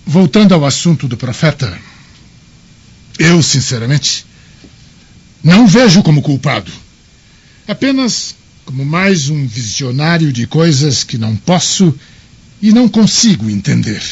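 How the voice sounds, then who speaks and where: A rather high, husky voice for an authoritative Roman governor. He speaks like a solemn orator weighing a decision, with wide swings of pitch, in a dull-sounding radio drama. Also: big pitch swings, unhurried, pauses often, exaggerated voice. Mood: deliberating, authoritative.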